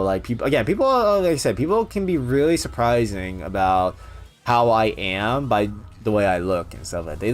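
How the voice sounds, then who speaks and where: Young guy rambling thru viewer questions, um like, soft music behind. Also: on the low side, clean tone. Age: young adult. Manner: rambling, many fillers and restarts. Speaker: youtuber answering viewer questions. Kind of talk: casual chat.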